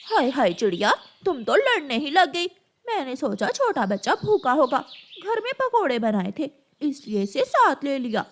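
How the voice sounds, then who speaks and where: A woman's voice: high-pitched, sing-song, normal speed, slight background noise, pitched below the performer's own voice. A woman playing a sly female crow in a children's cartoon, wheedling with false friendliness. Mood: feigning innocence. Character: sly, coaxing female crow who lures young birds.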